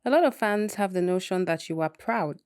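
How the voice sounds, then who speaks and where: Woman, interviewer, slightly low pitch, steady scripted questions, dry recording. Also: fairly quick, no room sound.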